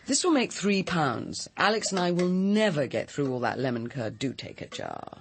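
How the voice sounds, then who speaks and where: An actor playing a reserved, unsentimental British character in a radio drama, with a dry and measured manner. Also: male voice, a little high, very animated, creaky, slight background noise. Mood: casual.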